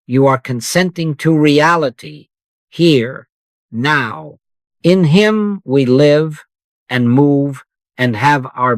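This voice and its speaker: Soft-spoken man guiding a prayer for sleep. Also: mid-range voice, no room sound. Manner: soft, slow and unhurried, with long pauses. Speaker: meditation guide.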